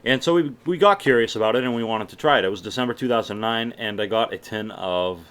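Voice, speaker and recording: A man's voice: on the low side, fast talker, fairly bright tone, clear, resonant tone. A young man from Massachusetts with a mild Boston accent mixed with other American sounds, chatting casually and swearing often while answering viewer questions. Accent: mild Boston mixed with general American. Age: young adult. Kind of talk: casual Q&A vlog.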